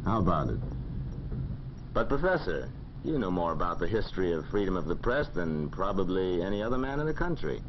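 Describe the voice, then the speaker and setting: Low-voiced newspaper editor. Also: male voice, big pitch swings, brisk. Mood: brisk, persuasive. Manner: businesslike, persuasive. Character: brisk, persuasive middle-aged male newspaper editor. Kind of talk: 1940s film acting. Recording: dull, faintly noisy old film soundtrack.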